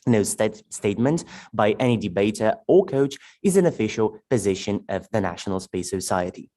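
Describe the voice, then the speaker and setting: A man moderating a debate tournament on a video call. His pitch swings in a lively, animated way as he reads out introductions and rules in measured, formal sentences.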